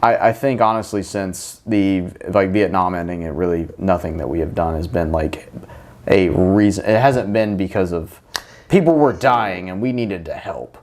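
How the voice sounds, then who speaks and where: A man's voice: low voice, normal speed, a little mellow. A man hosting a podcast in casual chat, speaking bluntly and swearing freely. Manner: blunt, profane. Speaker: podcast host; military veteran.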